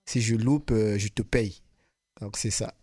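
A man's voice: lowish voice, steady tone, darkish tone, husky, no echo. Earnest man, dry studio sound. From Republic of the Congo. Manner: hesitant, many pauses and repeated words, sincere. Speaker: radio guest, hairdresser. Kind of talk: earnest personal testimony. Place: radio studio.

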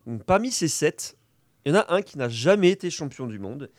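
A man running a sports quiz on a casual radio show, reading out names slowly and laughing often. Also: fairly high pitch, big pitch swings, no echo, studio quality. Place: community radio studio. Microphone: studio microphone.

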